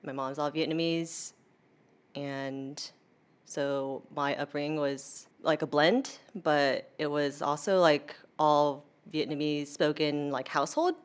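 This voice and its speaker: Low-voiced young American woman chatting casually. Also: steady tone, slow pace, smooth. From United States. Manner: chatty, informal, heavy use of "like". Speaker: interview guest. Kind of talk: casual conversational interview.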